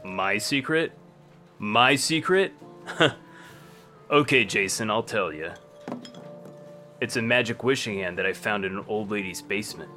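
An actor playing a smug, self-satisfied, heartless business bro in a comedy radio play. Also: male voice, on the low side, measured pace, long pauses, pushed and bright, faint music behind. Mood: smug boasting.

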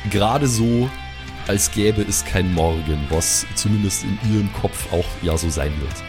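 A man with a fairly low voice narrates a tabletop game as its game master, improvising as he goes. Clear music plays behind him. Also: unhurried.

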